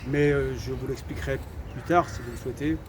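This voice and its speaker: A man's voice: mid-range voice, fairly even intonation, brisk. Hesitant man talking over street traffic. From France. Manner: hesitant, lots of fillers and repeated words, firm assertions. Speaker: vlogger. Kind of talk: opinion talk to camera.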